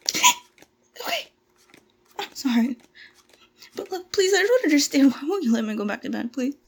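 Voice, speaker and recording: A woman acting out a frightened young captive woman who stammers and pleads in a halting way. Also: high-pitched, sing-song, a little hiss or hum. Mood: anxious, pleading. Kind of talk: amateur audio drama acting.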